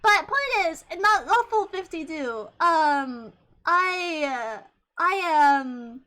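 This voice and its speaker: A woman in her twenties with a high-pitched voice, chatting casually on a livestream and swearing freely, rambling with many restarts. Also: lively intonation, slow pace, pushed and bright. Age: 20s. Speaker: VTuber streamer.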